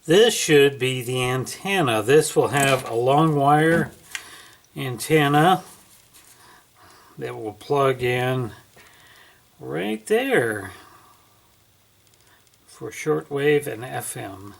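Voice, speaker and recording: A man narrating a casual hands-on unboxing at home, thinking aloud in a folksy, unhurried way. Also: mid-range voice, lively intonation, gravelly. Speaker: amateur product reviewer. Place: indoors at home.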